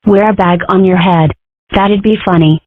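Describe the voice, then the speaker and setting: A woman's voice: medium pitch, steady tone, moderate pace, dry recording, close to an everyday voice. A woman voicing a mischievous, brash young fox girl in a comic dub, with a blunt, cheeky manner. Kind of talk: comic strip dub dialogue. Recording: narrow phone-like audio, dry.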